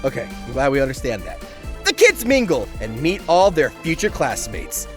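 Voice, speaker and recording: A woman's voice: rumbling low, very animated, normal speed, soft background music. A woman narrating a comic recap as host, theatrical, sarcastic and foul-mouthed.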